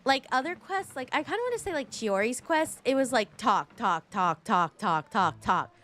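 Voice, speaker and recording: A woman's voice: a little high, animated, moderate pace, fairly bright tone, soft background music. A woman streaming a game with casual, chatty commentary in a playful, rambling manner.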